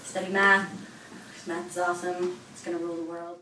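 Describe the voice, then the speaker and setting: A woman's voice: fairly low pitch, little pitch movement, a little fast, bright, forceful tone, a little hiss or hum. A woman in her twenties from California, a college roommate talking playfully and boasting in jest in a student video. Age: 20s. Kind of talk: mock interview for a student video. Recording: echoey room, mic at a distance.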